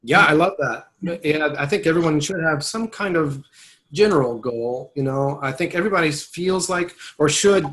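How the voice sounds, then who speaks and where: A man's voice: a little high, fairly bright tone. A man working as a voiceover agent, talking in a casual webinar conversation, thoughtful and rambling, often restarting his sentences.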